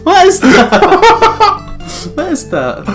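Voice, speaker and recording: A let's play co-commentator of unclear sex chatting casually, with quiet, giggly reactions. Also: very high-pitched, wildly swooping pitch, extremely slow. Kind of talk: casual chat. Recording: loud guitar music over the voice.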